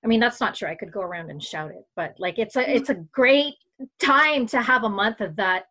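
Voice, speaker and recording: A woman's voice: on the high side, lively intonation, fairly quick, pushed and bright. A woman from Canada, a mother and podcast guest, telling stories in a playful way and laughing as she goes. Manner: playful, laughing, loose storytelling. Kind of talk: casual chat.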